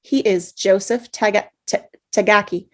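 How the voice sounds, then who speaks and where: A woman narrating the stage directions in a table read, with steady, measured script reading. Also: medium pitch. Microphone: video call.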